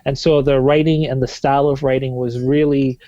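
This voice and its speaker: A man's voice: medium pitch, flat delivery, normal speed, dark tone, dry recording, muffled recording. A man in his thirties from Tanzania, answering podcast questions as a marketing guest, fluent but with many "uh" fillers and restarts.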